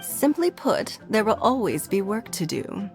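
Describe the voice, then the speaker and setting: An actress voicing a composed, courteous woman who leads a city, speaking in a formal, elegant, measured way. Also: mid-range voice, sing-song, soft background music. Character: poised, courteous adult woman leader of a city. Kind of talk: video game voice acting.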